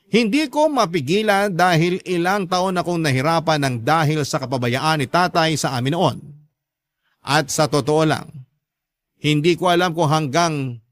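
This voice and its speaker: A man's voice: fairly high pitch, fairly bright tone, dry recording, clean studio sound. A man reading a letter aloud as a radio drama host, in earnest, heartfelt storytelling. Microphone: close, dry studio mic.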